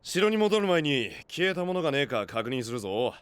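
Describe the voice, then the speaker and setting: A man playing a rough, curt, commanding young gang-style boss in an anime-style voice drama. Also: on the high side, big pitch swings, fairly quick, a bit bright, dry recording.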